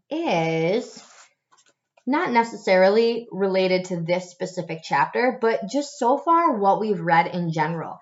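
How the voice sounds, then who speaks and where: Woman teacher, young adult, mid-pitched, gentle and patient with kids, slightly muffled home recording. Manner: gentle, patient, encouraging, with fillers and restarts. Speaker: teacher reading a chapter book aloud. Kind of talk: recorded lesson for students.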